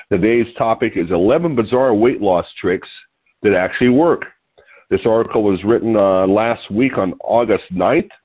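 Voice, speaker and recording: A man's voice: fairly low pitch, slightly rough. A man in his sixties, nasal and sniffly from allergies, hosting a call and reading an article aloud with small chuckling asides. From California. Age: 60s. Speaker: host. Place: home room. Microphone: phone line. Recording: tinny phone-line call.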